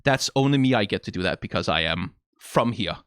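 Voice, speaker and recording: A man's voice: lowish voice, animated, fairly quick, a bit bright, clear, resonant tone, no echo, studio quality. A young man with a Danish accent, talking casually and wryly to the camera as a reaction YouTuber, playful and swearing for emphasis. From Denmark (Funen). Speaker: YouTuber reacting to a game trailer. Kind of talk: casual commentary.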